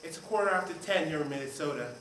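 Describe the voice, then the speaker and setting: An actor playing a gentle caller who leaves a slow, tender message. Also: male voice, on the high side, echoey room, distant mic, slight background noise, natural voice, low-quality recording.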